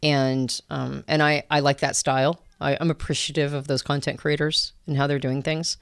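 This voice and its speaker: A woman's voice: deep voice, level tone, normal speed, gravelly, clean tone, dry recording. Thoughtful woman podcast co-host, dry sound. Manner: reflective, searching for words with many fillers. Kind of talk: casual conversation.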